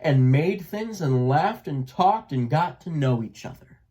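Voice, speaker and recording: A man narrating a children's storybook aloud in an expressive read-aloud style. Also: fairly high pitch, unhurried. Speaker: storybook reader.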